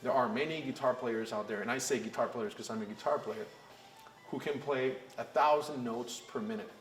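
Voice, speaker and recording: A man's voice: mid-range voice, normal speed. A young man from Costa Rica, a blues band frontman, talking earnestly and reflectively in a documentary interview. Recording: roomy echo with faint music.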